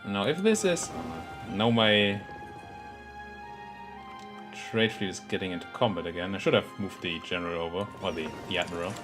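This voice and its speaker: A man with a cold, his voice a bit hoarse, casually commenting on his strategy game as he plays, thinking aloud with fillers and restarts. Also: sits low, a little fast, music in the background. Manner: muttering thoughts aloud, self-talk with fillers and restarts. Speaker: let's play gaming commentator. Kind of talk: casual live gameplay commentary.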